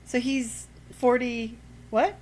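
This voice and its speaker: A woman's voice: on the high side, steady tone, slow, a little mellow. A woman co-hosting a casual fan discussion, thinking aloud and speculating, with some offhand swearing. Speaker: podcast co-host.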